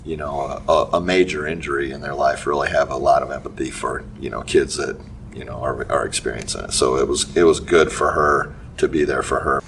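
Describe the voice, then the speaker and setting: A middle-aged man, a basketball coach giving a halting post-game interview full of restarts and fillers. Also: extremely low pitch, steady tone, vocal fry, fairly bright tone. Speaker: high school basketball coach. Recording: distant mic with faint background noise.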